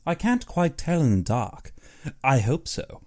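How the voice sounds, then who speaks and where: A man voicing an uneasy, superstitious big-game hunter in an audiobook, earnest and brooding in his expressive delivery. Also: medium pitch, unhurried, pitched above the performer's own voice. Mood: ominous. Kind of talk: audiobook character dialogue.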